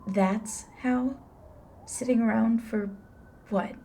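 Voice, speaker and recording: A woman's voice: on the high side, fairly even intonation, slow pace, warm tone, a little hiss or hum. A woman playing a blunt, flippant grim reaper in an ASMR roleplay, with an offhand, dry manner. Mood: curious, tactless. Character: blunt, flippant female grim reaper, unsentimental but curious.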